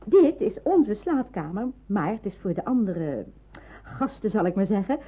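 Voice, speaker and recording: An actress in a Dutch radio drama playing a watchful, practical nurse in hiding, explaining and cautioning. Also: female voice, mid-range voice, sing-song, normal speed, breathy edge. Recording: narrow phone-like old radio recording.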